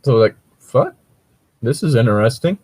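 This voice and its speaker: A man's voice: medium pitch, very animated, moderate pace, darkish tone, breathy edge, dry recording. A man co-hosting a casual commentary chat, talking in a hesitant, filler-heavy and casually sweary way. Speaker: podcast co-host.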